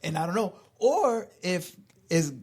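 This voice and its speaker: A man's voice: high voice, big pitch swings, unhurried, darkish tone. A man co-hosting a casual podcast, musing and asking big questions with lots of "like" filler.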